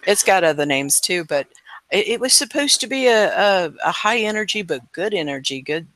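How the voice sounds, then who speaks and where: A middle-aged woman from Oklahoma talks through a relaxed chat show, telling drawn-out stories and laughing often. Her voice sits bright and forward. The sound is dry and close. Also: lowish voice, dry recording. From Oklahoma, USA. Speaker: co-host of a paranormal chat show. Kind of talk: casual chat.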